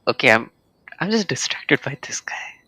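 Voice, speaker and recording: A man's voice: mid-range voice, big pitch swings, moderate pace, in a whisper. A man with an Indian accent giving casual, playful, reactive live commentary as he streams a game.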